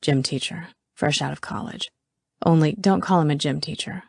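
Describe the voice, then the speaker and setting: A woman voicing a young man who murmurs wry gossip softly and intimately in an audiobook. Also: low-pitched, fairly even intonation, moderate pace, no echo, studio quality. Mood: intimate, amused. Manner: hushed, whisper-soft, wry.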